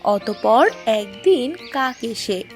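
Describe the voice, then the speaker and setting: A woman's voice: a little high, lively intonation, slow, over music. A woman narrating a scripted children's story as its storyteller.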